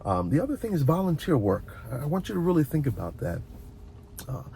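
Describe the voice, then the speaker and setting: A man's voice: a little high, sing-song, a little fast, vocal fry, mellow, a little hiss or hum. A man giving rambling, unscripted advice as a video host, earnest and encouraging, often repeating words and restarting as he speaks straight to the listener.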